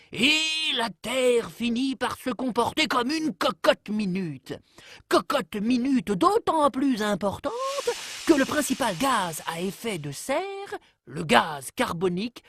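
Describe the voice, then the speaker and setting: A woman narrates an educational cartoon like a storybook tale, in a measured, deliberately phrased storytelling delivery. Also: fairly high pitch, husky, no room sound, studio quality.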